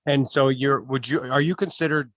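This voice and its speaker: A man's voice: medium pitch, steady tone, normal speed, fairly bright tone, no echo, highs cut off. A man casually asking interview questions in an encouraging, affirming way.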